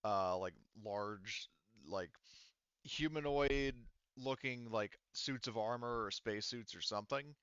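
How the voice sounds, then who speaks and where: A man improvising narration as game master of a tabletop roleplaying game, haltingly searching for words as he describes scenes. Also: medium pitch, a bit bright, clean tone, dry recording, lo-fi sound.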